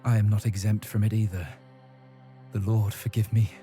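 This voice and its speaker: A man's voice: fairly low pitch, level tone, normal speed, natural voice. A man playing a devout, brooding medieval warrior, narrating a quest solemnly in a video game. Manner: solemn, pious. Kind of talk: dramatic scripted narration in a game. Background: music.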